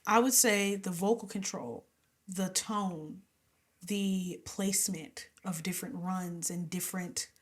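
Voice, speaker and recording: A woman's voice: fairly low pitch, fairly even intonation, a little slow. A young adult woman singer, a guest in an interview, talking casually and with animation, laughing often and sometimes dropping to a whisper.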